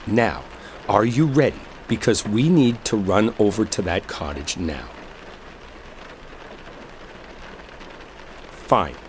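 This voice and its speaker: A man's voice: on the low side, unhurried. A man acting in a roleplay audio drama as an exasperated, sarcastic guy stranded with a coworker he dislikes. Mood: resigned, impatient. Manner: sarcastic, heated, swearing. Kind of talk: roleplay audio drama, one side of an argument. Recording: steady rain noise behind voice. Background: rainstorm ambience.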